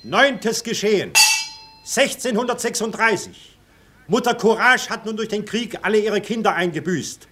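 Male scene narrator for radio drama: high register, sharp bright tone, scripted delivery. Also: lively intonation, normal speed, a little hiss or hum.

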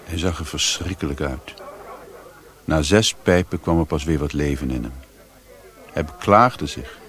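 A man's voice: rumbling low, unhurried, fairly bright tone. An actor playing a sombre man who recounts his grim adventures in literary radio drama narration.

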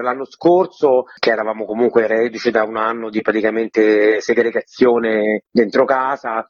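A man's voice: on the low side, slightly rough, dry recording. A man giving explanatory answers as a theatre director in an interview. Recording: slightly muffled, dry lo-fi audio.

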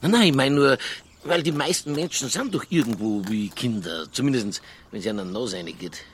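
An actor playing a stubborn, provocative Bavarian police detective in a radio crime drama, earthy and argumentative. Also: male voice, medium pitch, expressive, normal speed, a little hiss or hum, lightly acted. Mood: earnest, probing. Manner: earthy, argumentative, quoting scripture.

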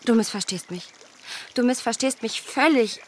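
An actress playing a spirited, self-assured young gentlewoman in a period radio play, expressive and emphatic. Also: fairly high pitch, moderate pace, a little hiss or hum, lightly acted. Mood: astonished, emphatic. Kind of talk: German radio play acting of period novel.

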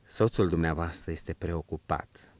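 Man voicing a calm, composed prince. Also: low voice, no room sound, highs cut off, close to an everyday voice. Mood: courtly. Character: male prince, calm, gently commanding.

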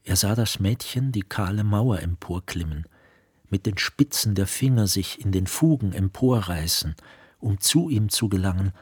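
A man narrating a literary radio play from a script in a measured, serious manner. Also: low-pitched, lively intonation, breathy edge, no room sound, clean studio sound.

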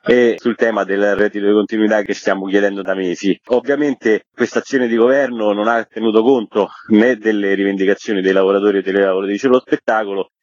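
Muffled dry lo-fi audio, deepish guy, activist explaining stuff in a radio interview, formal wording. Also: level tone, fast talker, few pauses, no room sound. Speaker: activist guest representing performing arts workers. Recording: dull, lo-fi band-limited line.

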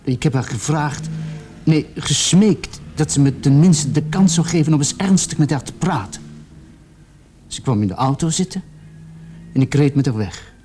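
An actor playing a distraught young man in a radio play, confessing haltingly and repeating his words in agitation. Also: fairly high pitch, animated, dark tone, a little hiss or hum, natural voice. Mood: remorseful, shaken.

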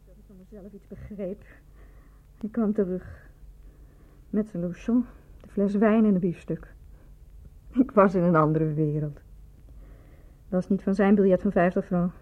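A thin, phone-like old radio recording of a provincial woman, played by an actress confessing her love with self-reproach. Her voice sits at a medium pitch and has a reflective, dramatic delivery. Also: steady tone, a little slow, pauses often, natural voice. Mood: wistful. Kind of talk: radio play acting.

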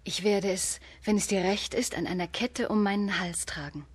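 A woman acting a gentle, devoted young princess, tender and solemn, in a children's radio drama. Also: medium pitch, moderate pace, breathy edge, close to an everyday voice.

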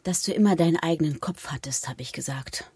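A middle-aged mother, husky and on the low side. She is proud and chatty, in a naturalistic radio drama style. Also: a little fast, warm tone, no room sound, exaggerated voice. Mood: chatty, pleased.

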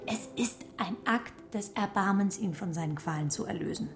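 A woman's voice: mid-range voice, normal speed, music in the background, natural voice. An actress in her thirties playing a cool-hearted, scheming librarian in a radio drama, blunt and offhand. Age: 30s.

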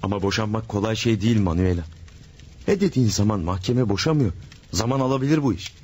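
A man's voice: lowish voice, lively intonation, fast, a little mellow, breathy edge. An actor playing an infatuated married man in a radio drama, pleading and overwrought in his passion. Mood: impassioned. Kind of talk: 1970s-style radio drama acting.

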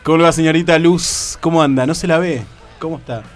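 A young man with a Rioplatense accent hosting a casual radio show, hoarse from a cold and joking around. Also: a little high, fairly even intonation, fairly quick, soft background music. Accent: Rioplatense Spanish. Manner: joking, teasing.

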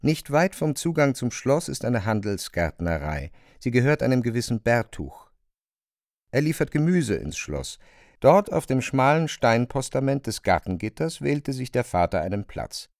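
A grave narrating voice reads in a calm, measured way. His voice sits at an ordinary male pitch. The sound is close and completely dry, with no room around it. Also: no room sound. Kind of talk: radio play narration. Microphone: close, dry studio mic.

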